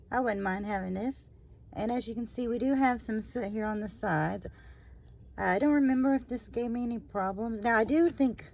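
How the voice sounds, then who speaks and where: A woman hosting her own review channel, slightly hoarse after surgery, talking in a rambling, chatty way with lots of fillers and trailing asides. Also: mid-range voice, darkish tone. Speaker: candle review channel host. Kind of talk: casual product review vlog.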